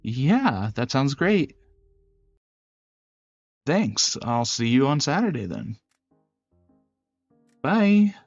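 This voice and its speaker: A man's voice: medium pitch, very animated, drawn-out words, pauses often, a little mellow, dry recording, dull audio, soft background music, exaggerated voice. A man voicing a shy, sweet, apologetic young woman in a visual novel, bashful and rambling. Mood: cheerful. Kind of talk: visual novel character voice acting.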